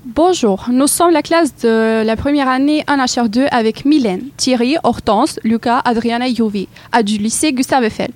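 A woman's voice: fairly high pitch, moderate pace, few pauses. A woman student presenting a school radio show, reading her introduction carefully. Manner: careful, read-aloud delivery.

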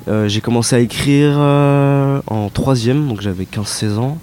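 A young man giving casual, hesitant interview answers with lots of fillers and relaxed slang. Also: medium pitch, measured pace. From Italian descent, raised in France. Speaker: rapper interviewed by students.

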